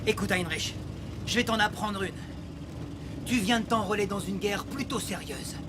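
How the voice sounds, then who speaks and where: A man dubbing a hardened American soldier who leads his unit, giving curt, gruff orders in a war film. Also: high voice, normal speed, natural voice. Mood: stern. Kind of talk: French dub of a war film. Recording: film soundtrack with loud vehicle noise.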